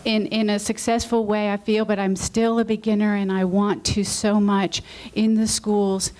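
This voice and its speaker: A woman in the audience asking the panel a heartfelt question, apologetic and loud-voiced. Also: medium pitch, little pitch movement, moderate pace, rough recording. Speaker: audience member. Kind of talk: question from the floor. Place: conference session room.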